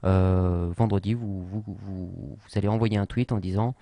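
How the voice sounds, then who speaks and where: Hesitant low-voiced man. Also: fairly even intonation, a little slow, vocal fry, dark tone, dry recording. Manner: hesitant, many fillers and restarts. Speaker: tutorial presenter. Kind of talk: unscripted screen tutorial.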